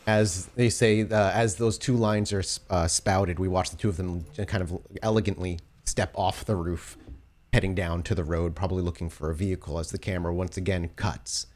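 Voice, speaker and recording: Deep-voiced man narrating with slow drama. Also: fairly even intonation, a little mellow. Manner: measured, descriptive, dramatic storytelling. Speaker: game master, storyteller of an actual play podcast. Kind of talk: improvised cinematic narration for a tabletop roleplaying game.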